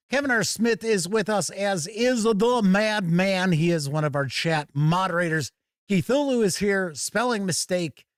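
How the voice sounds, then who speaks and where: A man hosts a casual live gaming show in a friendly, folksy, chatty way. His fairly high voice is a bit raspy and hoarse from a sore throat. It sounds close and dry, with no room echo. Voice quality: slightly raspy. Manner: upbeat, folksy greetings, chatty. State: hoarse, under the weather. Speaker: host. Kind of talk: casual live-stream chat. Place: home studio.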